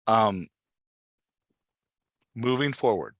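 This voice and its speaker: Male host-coach, forceful lower voice, dry sound, conversational, checks for understanding as he explains. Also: lively intonation, very slow, dry recording. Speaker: podcast host and writing coach.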